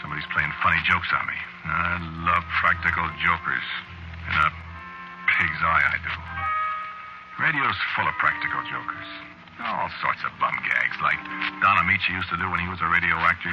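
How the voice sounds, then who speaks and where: A man's voice: low-pitched, close to an everyday voice. A man acting in a vintage radio drama as a hard-headed, rattled fellow narrating his nightmare, hesitant and stammering in colloquial speech. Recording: noisy dull vintage radio recording.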